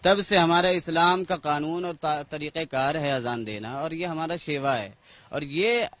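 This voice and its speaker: A man's voice: sits high, fairly bright tone, dry recording. A local man. He gives explanatory interview answers, making his case point by point with rhetorical questions. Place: on location in a local neighbourhood.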